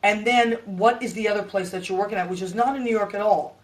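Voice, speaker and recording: A hoarse woman in her fifties hosting a casual live chat from home, rambling and tired, talking into a webcam. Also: medium pitch, fast talker, rough recording. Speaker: livestream host. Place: home room with Christmas tree.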